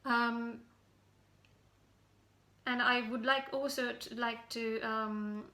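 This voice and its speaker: A Sri Lankan woman speaks in a bright, forceful voice that sits fairly high and stays quite level. She addresses her listener directly with earnest, halting criticism, like a citizen activist. The room around her sounds echoey. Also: steady tone. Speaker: citizen activist giving an open message. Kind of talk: direct spoken address to camera, semi-prepared.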